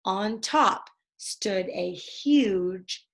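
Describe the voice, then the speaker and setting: Slow-paced woman reading a children's story. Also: mid-range voice, lively intonation, vocal fry. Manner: addresses young listeners, acts out dialogue. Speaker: story reader. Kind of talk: expressive children's storybook read-aloud.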